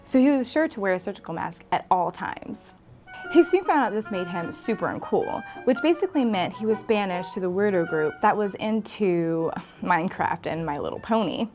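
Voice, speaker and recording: A woman tells a scripted comic tale in storybook fashion, her voice sitting at a middling pitch. Faint music plays behind her narration. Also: sing-song, fairly quick, a little mellow. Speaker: guest storyteller.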